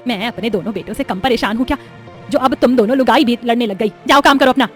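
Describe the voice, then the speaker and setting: A woman's voice: fairly high pitch, quick pace, faint music behind, lightly acted. A woman playing an exasperated, bossy older mother-in-law and family matriarch in a story.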